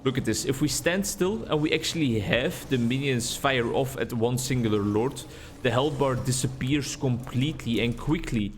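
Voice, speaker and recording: A man's voice: mid-range voice, moderate pace, slight background noise. A Dutch man, a native Dutch speaker, giving rambling, chatty gameplay commentary in English on a desk mic. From Netherlands. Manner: rambling, chatty, self-deprecating. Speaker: gaming YouTuber.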